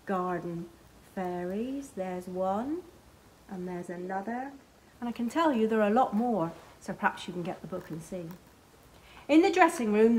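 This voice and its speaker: A middle-aged woman reading a picture book aloud to children, expressively, pausing to point out the pictures. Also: medium pitch, poor audio. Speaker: library storyteller. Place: small library children's corner, indoors.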